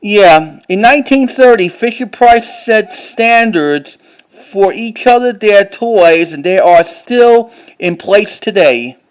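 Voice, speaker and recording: A man with a halting, word-repeating way of talking, doing a casual show-and-tell like an enthusiastic collector. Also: high voice, lively intonation, smooth, poor audio. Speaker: vlogger.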